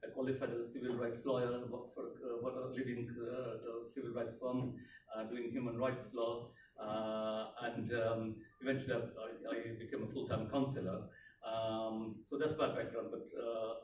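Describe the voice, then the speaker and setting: A man's voice: mid-range voice, level tone, moderate pace. Middle-aged guy from tanzania giving a speech, lots of uhs and ums, echoey room. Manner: hesitant, many fillers and restarts. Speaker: elected politician speaking at a community event. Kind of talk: unscripted speech. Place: community event room. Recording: echoey room, mic far off, background noise.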